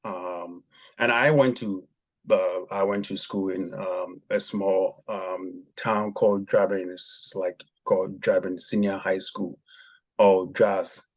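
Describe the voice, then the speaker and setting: A man from Ghana, a podcast guest telling his story with many pauses and ums. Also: deep voice, steady tone, rough recording. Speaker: guest, former javelin athlete.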